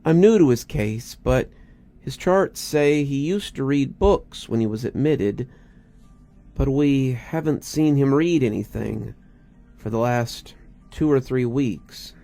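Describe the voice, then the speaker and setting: A man voicing a young female caretaker who speaks haltingly and tries to sound reassuring, as in a storybook reading. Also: mid-range voice, gravelly, warm tone. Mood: uneasy, reassuring. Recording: music behind the voice.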